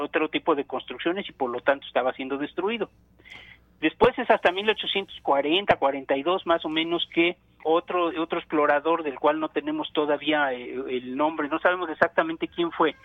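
An archaeologist comes through a thin, phone-like line, dry and close with no room around him. His voice sits a little high for a man, and he lays out his account slowly and carefully, stopping often to think aloud. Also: no echo. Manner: measured, thinking aloud with many fillers. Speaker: archaeologist guest. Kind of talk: explanatory phone interview. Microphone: telephone.

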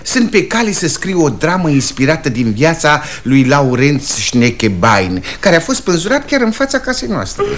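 Pompous, wildly expressive uncle. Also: male voice, on the high side, few pauses. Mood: self-important. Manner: emphatic, self-satisfied, repeating words. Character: pompous, opinionated middle-aged uncle, small-town grocer. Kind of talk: radio comedy stage acting. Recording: old radio play with hiss and clicks.

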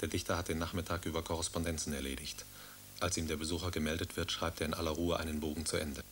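Very deep, flat-voiced male narrator. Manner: quick, even, matter-of-fact. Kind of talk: scripted radio drama narration. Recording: loud clicking noise.

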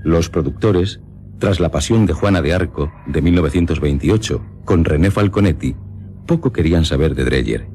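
Very deep-voiced Castilian man narrating over music. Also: lively intonation, normal speed, mellow. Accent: Castilian Spanish. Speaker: radio drama host and narrator. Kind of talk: scripted literary narration. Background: background music.

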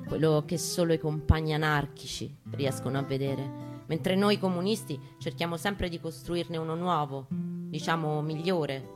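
A woman's voice: sits low, moderate pace. Roman woman's dramatic reading over guitar. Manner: intense, colloquial, profane delivery. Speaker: performer reading her piece aloud. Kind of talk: dramatic spoken-word reading. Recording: live guitar under the voice. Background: acoustic guitar music.

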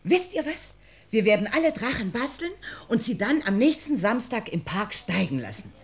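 A woman's voice: fairly high pitch, sing-song, moderate pace. A woman playing a cheerful, encouraging schoolteacher in a radio play, explaining things as if to a class.

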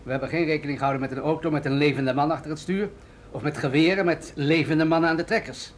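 Radio play general, played by a man: slightly high male voice, grave, commanding, explanatory, with rumbling background noise. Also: fairly even intonation, fast, natural voice. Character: authoritative male military general briefing an agent, grave and deliberate. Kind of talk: radio drama acting.

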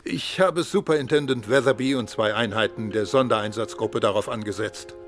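Guy as senior police boss in radio play, authoritative, brisk, medium pitch, music under him. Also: fairly bright tone, natural voice. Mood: matter-of-fact. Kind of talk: German radio play acting.

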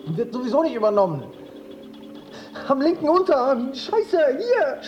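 A man's voice: extremely high pitch, wildly swooping pitch, moderate pace, highs cut off, heavily acted. An actor playing an anxious, fretful male hypochondriac in an audio drama, earnest and worried. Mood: rising panic.

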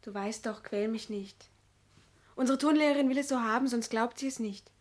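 A woman's voice: fairly high pitch, moderate pace, a little mellow, no echo, close to an everyday voice. A woman acting a curious, playful teenage schoolgirl and younger sister in a 1970s German radio play. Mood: reluctant, defensive.

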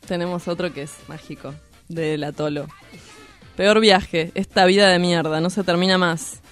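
A woman's voice: lowish voice, steady tone, moderate pace, soft background music. A woman with a Rioplatense Argentine accent co-hosting an informal radio show and reading out items. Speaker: radio co-host. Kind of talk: casual radio chat, reading listener messages.

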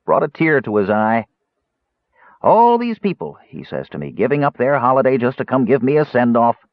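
A man's voice: medium pitch, big pitch swings, moderate pace, dry recording, highs cut off, pitched above the performer's own voice. A man voicing a toothless, cunning old jailer in an audiobook, with a thin, whiny, cackling voice and folksy grammar. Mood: morbid, wistful.

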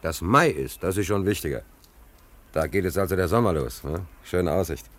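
A hungry homeless male drifter for a radio play. His voice is deep and swings widely in pitch. He rambles in everyday speech, repeating himself, defiant yet tender-hearted. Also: lively intonation, moderate pace, a bit bright. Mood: wry, resigned.

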